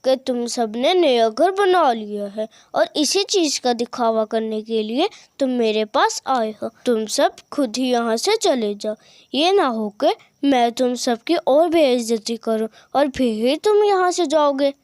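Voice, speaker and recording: A stubborn, scornful cartoon bird acted by a woman with a high voice. She is rude, sneering and dismissive, in a dry recording. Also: expressive, measured pace, a little mellow, no room sound.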